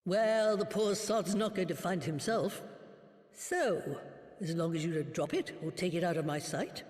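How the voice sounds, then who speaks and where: A woman's voice: lowish voice, expressive, a little slow, darkish tone. A woman playing a crotchety, worldly old sorceress in a fantasy game, dry, brusque and knowing. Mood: grudging. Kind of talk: scripted fantasy game acting. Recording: echoey hall reverb.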